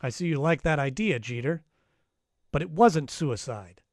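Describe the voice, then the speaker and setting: An actor playing a wry, tough private detective, narrating and questioning in an old-time radio crime drama. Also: male voice, a little high, expressive, normal speed, no room sound, studio quality. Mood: matter-of-fact. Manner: wry, matter-of-fact.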